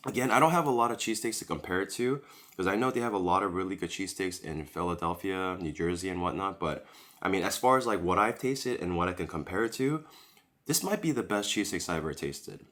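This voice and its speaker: A man's voice: deep voice, lively intonation, quick pace, a bit bright. A man reviewing a sandwich while eating it, chatting casually and recovering from a cold, recorded at home on a camera-mounted mic. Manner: chatty, rambling, leisurely tasting commentary. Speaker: food vlogger. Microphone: camera-mounted shotgun mic.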